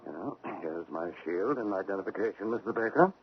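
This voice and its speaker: A man's voice: sits low, animated, brisk. An actor playing a formal, politely insistent male government agent in a radio drama. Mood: officious. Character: officious, formally polite federal postal agent. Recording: narrow-band, faintly noisy vintage radio.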